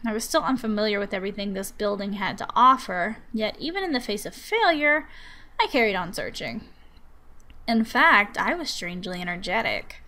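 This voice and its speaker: A woman reading a visual novel aloud as expressive first-person story narration. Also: medium pitch. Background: music.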